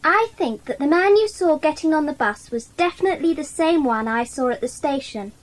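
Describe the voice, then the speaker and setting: Earnest high-voiced child sleuth. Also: female voice, expressive, a little fast. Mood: reasoning. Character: earnest, reasoning child member of detective club. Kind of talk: dramatised children's audiobook acting.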